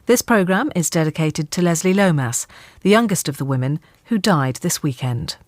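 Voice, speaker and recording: A woman's voice: low-pitched, lively intonation, normal speed, a little mellow. A woman reading a scripted programme announcement.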